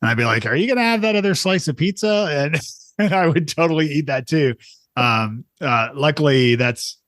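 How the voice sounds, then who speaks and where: A man's voice: on the high side, animated, normal speed, fairly bright tone, dry recording, studio quality. A man hosting a podcast interview, friendly and rambling, with many fillers and restarts. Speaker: podcast host.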